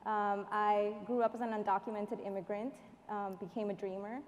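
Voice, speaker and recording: A woman's voice: medium pitch, flat delivery, moderate pace, a little mellow, lo-fi sound. A confident woman from Mexico speaking proudly as a panelist at a live panel discussion. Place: large hall stage. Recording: reverberant hall with faint ambience.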